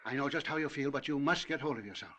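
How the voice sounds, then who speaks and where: An actor playing a blustery, soft-hearted older judge, sputtering and imperious in old movie style. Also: male voice, mid-range voice, quick pace, natural voice. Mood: concerned. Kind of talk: old Hollywood melodrama acting.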